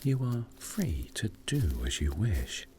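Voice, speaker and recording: Deep, very slow soothing male meditation narrator. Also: very animated, a little mellow. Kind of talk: sleep meditation story narration, scripted.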